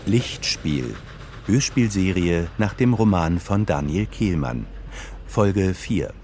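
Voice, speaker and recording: Slow, low male announcer. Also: lively intonation, a little mellow, slight background noise. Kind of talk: scripted title announcement.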